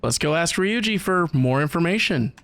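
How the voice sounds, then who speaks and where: A man's voice: fairly high pitch, lively intonation, normal speed, fairly bright tone, a little hiss or hum. A man reacting casually as he plays through a video game, with short interjections and comments.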